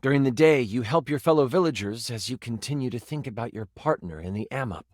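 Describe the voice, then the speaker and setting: A man's voice: medium pitch, fast, no echo, clean studio sound, lightly acted. A man playing an eager game master who narrates a fantasy tabletop adventure with dramatic expression in a video game. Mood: dramatic storytelling. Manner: dramatic, expressive, with aside remarks. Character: male tabletop game master, personified D20, eager theatrical storyteller.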